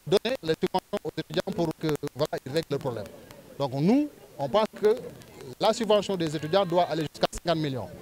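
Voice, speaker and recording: A Senegalese-accented man with a somewhat high-pitched voice speaks as a local politician in an interview. He makes his pitch earnestly, stressing points by repeating phrases. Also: poor audio. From Diourbel, Senegal. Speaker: local politician and civil servant.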